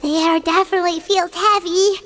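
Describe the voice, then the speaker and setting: A woman's voice: extremely high pitch, fairly even intonation, moderate pace, fairly bright tone. A woman voicing a small, timid young girl in a game, hesitant and worried. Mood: uneasy. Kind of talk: scripted game voice acting.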